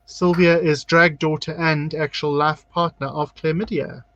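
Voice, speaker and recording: A man from South Africa hosting a drag show online, chatting in a camp, gossipy way and reading out viewer comments. His voice sits a bit high and comes through a dull, lo-fi mic. The sound is dry, with no room around it. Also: level tone, measured pace, fairly bright tone, no room sound. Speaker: drag queen host. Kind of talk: casual livestream chat.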